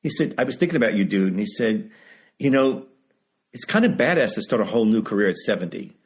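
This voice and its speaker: A man's voice: lowish voice, expressive, fast, husky. A seventy-year-old man talking candidly as an interview guest, emphatic and repeating phrases for stress. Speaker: guest, author and writing teacher. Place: video call.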